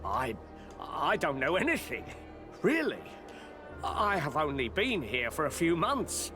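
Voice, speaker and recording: A man's voice: a little high, huge pitch swings, measured pace. A man playing a courteous, fearful shopkeeper in a video game, hesitant and guarded as he talks. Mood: nervous, guarded. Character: fearful, courteous male shopkeeper under threat. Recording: music behind the voice.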